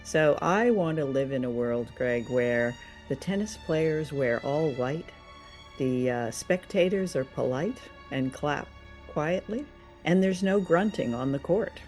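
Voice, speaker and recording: A woman's voice: low voice, animated, unhurried, darkish tone, faint music behind. Enthusiastic woman co-hosting a review chat. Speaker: podcast co-host reviewing radio dramas.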